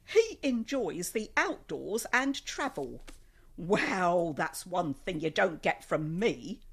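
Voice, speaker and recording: An actress in a radio play playing an older, old-fashioned woman, fond but tartly sceptical, with a deliberate delivery. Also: fairly low pitch, big pitch swings, creaky, husky, full character voice.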